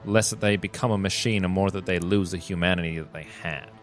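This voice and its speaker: A man playing a veteran wasteland scavenger in a tabletop game, plain-spoken and gruffly mentoring. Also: low-pitched, steady tone, fast, faint music behind, natural voice. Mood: explaining. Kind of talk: improvised tabletop roleplay acting.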